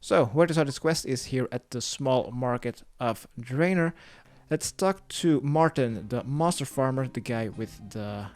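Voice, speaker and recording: A man's voice: medium pitch, a little slow, no echo. A man narrating a step-by-step video game guide, giving plainly worded instructions as a tutorial voice-over. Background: music.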